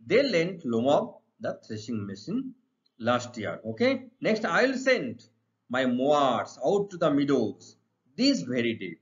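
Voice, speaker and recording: A man's voice: mid-range voice, huge pitch swings, a little slow. A middle-aged man with an Indian accent teaching an exam lesson, reading out questions and explaining the answers emphatically with repeated phrases. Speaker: English teacher. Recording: dull lo-fi recording.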